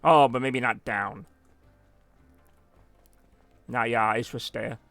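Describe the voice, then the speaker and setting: A man's voice: mid-range voice, slow pace, creaky, fairly bright tone, no echo, faint music behind. A man giving rambling, self-mocking live gameplay commentary as a streamer, now and then dropping in German phrases. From United States. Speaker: gaming streamer.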